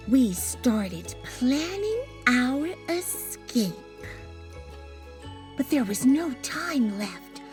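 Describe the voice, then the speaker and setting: A woman voicing an imaginative young boy who narrates his adventure in a dramatic, expressive storybook read-aloud. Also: sits high, voice pushed up, heavily acted. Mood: worried, determined. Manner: dramatic, expressive, drawn-out delivery.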